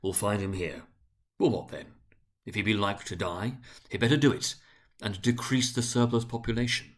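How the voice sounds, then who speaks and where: A man's voice: lowish voice, normal speed, lightly acted. A man voicing a stern ghost in a storybook reading, solemn and scornful as he declaims a rebuke.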